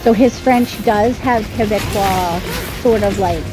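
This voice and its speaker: A woman explains her views earnestly on a live stream and often restarts her sentences as she thinks. Her pitch sits in an ordinary middle range. Music plays clearly behind her voice. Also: unhurried, poor audio. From Canada. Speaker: author guest. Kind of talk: casual conversation on a live stream.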